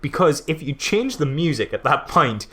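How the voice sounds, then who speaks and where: A man's voice: fairly high pitch, sing-song, normal speed, pushed and bright. A man co-hosting a podcast, chatting casually and critiquing a film in a jokey, mock-dramatic way. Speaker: podcast co-host.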